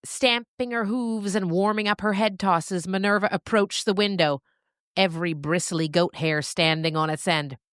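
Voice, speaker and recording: A woman narrating scripted fiction as a storyteller, with expressive, deliberate phrasing and dramatic pauses. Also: medium pitch, smooth, no echo, clean studio sound.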